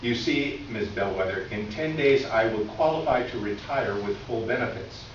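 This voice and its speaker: A man's voice: medium pitch, normal speed, lightly acted. A man acts out a wronged older male clerk close to retirement in a readers theater piece. He confesses by letter in formal, wordy, earnest sentences. The recording is very reverberant and a bit muffled, with light noise. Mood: earnest, aggrieved. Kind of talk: staged readers theater, reading a letter aloud. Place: live reading in a reverberant room. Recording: echoey room from far off, dull, noisy.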